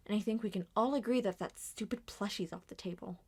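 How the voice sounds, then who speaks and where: A woman's voice: medium pitch, fast talker, darkish tone, breathy edge. A woman voicing an edgy, suspicious young female robot detective in an amateur roleplay drama, with a blunt, sardonic manner. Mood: skeptical, blunt.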